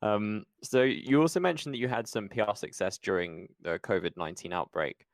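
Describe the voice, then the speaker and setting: A man's voice: lowish voice, brisk, no room sound, studio quality. A man hosting a podcast interview in a casual, conversational style.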